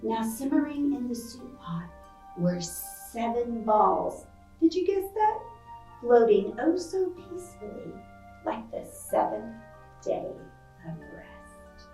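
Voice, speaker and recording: A woman reading a picture book aloud to children, expressive and playful, with chatty asides and questions to her young listeners. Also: fairly high pitch, slow pace, roomy sound, distant mic, soft background music, rough recording. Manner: expressive, playful, acting out sound effects. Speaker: library storytime reader.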